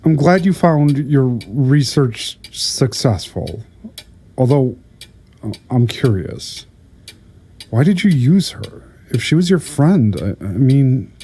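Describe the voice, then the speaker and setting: A man playing a patient older therapist in an audio drama, hesitant and stammering as he gently probes. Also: medium pitch, very animated, takes it slowly, breathy edge, exaggerated voice. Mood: cautious probing. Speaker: prison therapist. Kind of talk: audio drama acting, conversational.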